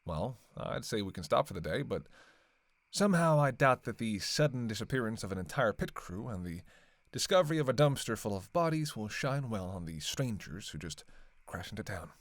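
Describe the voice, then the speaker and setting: A man's voice: lowish voice, sing-song, moderate pace, no echo. A man playing a sardonic, flirtatious, long-lived vampire killer in a roleplay audio drama, with casual, teasing, sweary banter. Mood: coaxing. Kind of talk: casual roleplay audio acting, one side of a dialogue.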